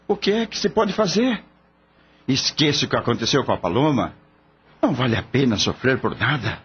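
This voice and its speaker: A man's voice: mid-range voice, sing-song, normal speed, slightly rough. A man playing a skeptical, scornful veterinarian boss in a radio drama, blunt, mocking and lecturing. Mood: dismissive, lecturing. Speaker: voice actor. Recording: dull lo-fi audio, faint hum.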